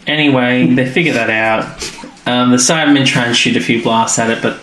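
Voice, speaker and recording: A man's voice: mid-range voice, fairly even intonation, a little fast, fairly bright tone, faint music behind. Easygoing man recapping, echoey room. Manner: easygoing, recaps scenes with loose fillers. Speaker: podcast co-host. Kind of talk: casual chat recapping an episode. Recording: echoey room, faint background noise.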